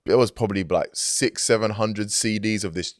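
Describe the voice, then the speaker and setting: A man's voice: on the low side, moderate pace, no echo, studio quality. A young man, a hip-hop artist, talking casually in an interview, laid-back and full of "like" and "you know what I mean". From Greek heritage, grew up outside Greece. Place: recording studio.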